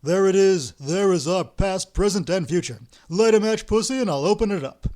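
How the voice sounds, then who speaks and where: A man voicing a brash, scheming male con man who barks orders loudly. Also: high-pitched, lively intonation, fast, pitched above the performer's own voice. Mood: bossy. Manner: loud, bossy, sharp.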